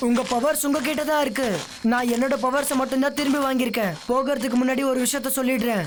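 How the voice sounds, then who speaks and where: An actor voicing a bold, confident young boy magician in a Tamil cartoon dub, with loud music behind. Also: high-pitched, fairly even intonation, quick pace, a little hiss or hum, natural voice. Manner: defiant, confident, declamatory.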